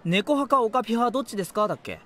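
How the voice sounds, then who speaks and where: A man's voice: sits high, expressive, racing, pushed and bright, a little hiss or hum. A man acting a playful, mischievous teenage volleyball player in an anime radio drama, with a teasing, theatrical manner.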